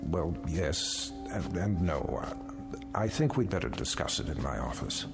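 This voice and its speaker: An actor playing an older museum owner in an audio drama, authoritative and businesslike yet apologetic, with music underneath. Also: male voice, extremely low pitch, big pitch swings, unhurried, gravelly, exaggerated voice. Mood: reluctant, regretful.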